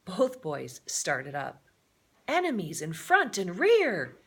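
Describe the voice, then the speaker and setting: Female storyteller for children's adventure book read-aloud; lowish voice, very animated pitch, expressive delivery. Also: slow, husky. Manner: expressive, with explanatory asides to listeners.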